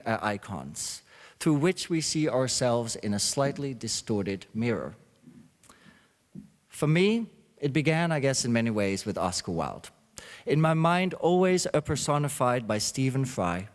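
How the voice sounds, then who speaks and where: A man hosting a symposium, reading a reflective introduction with many pauses and fillers. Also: medium pitch. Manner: halting, with frequent uh fillers. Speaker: symposium host. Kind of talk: read introductory speech. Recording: long hall reverb.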